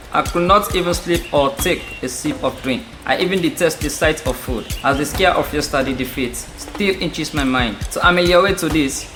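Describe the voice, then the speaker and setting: Man acting bright-voiced, self-pitying village drunkard. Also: fairly high pitch, a little hiss or hum. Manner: dramatic, complaining. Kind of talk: amateur audio drama acting.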